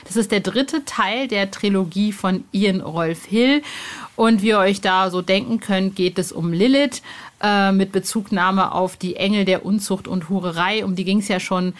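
A woman's voice: mid-range voice, unhurried. A woman hosting a podcast in a casual, chatty way, speaking informally and effusively with plenty of slang. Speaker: podcast host.

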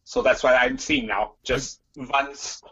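A man in his late thirties from Portugal, with a Portuguese accent and Portuguese as his first language, answering interview questions from home, halting and repeating words as he searches for them. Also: mid-range voice, sing-song, gravelly, bright, forceful tone, breathy edge, dull audio. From Porto, Portugal. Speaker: guest comedian. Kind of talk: remote interview.

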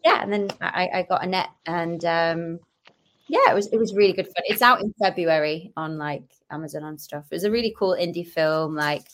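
A woman's voice: on the low side, a little fast, fairly bright tone, a little hiss or hum. A woman podcast guest, an actress and comedian, chatting casually and telling anecdotes, often acting out the dialogue.

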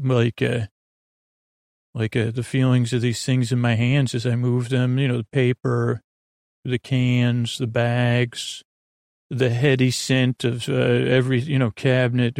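A man hosting a sleep podcast in a creaky voice, with a meandering, halting monologue full of repeats and tangents. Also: mid-range voice, darkish tone, dry recording, clean studio sound. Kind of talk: rambling bedtime monologue.

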